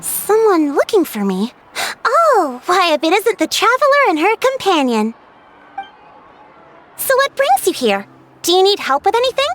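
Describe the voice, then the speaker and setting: Friendly village chief lady in a game, high voice, really lilting, playful. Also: wildly swooping pitch, measured pace, fairly bright tone, faint music behind, heavily acted. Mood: welcoming. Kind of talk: video game voice acting.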